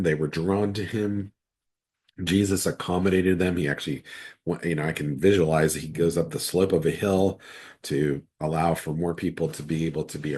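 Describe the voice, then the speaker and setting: A man with a cold, his voice hoarse and raspy, leading an online midweek Bible study as pastor, reading scripture and then explaining it in a loose, conversational way. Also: a little mellow. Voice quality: raspy, very deep voice. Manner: conversational, restarts sentences often.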